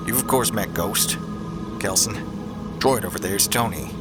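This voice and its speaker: A man's voice: lowish voice, unhurried, music in the background, close to an everyday voice. A man playing a hard-bitten, commanding starship captain in a sci-fi audio drama, stern and world-weary.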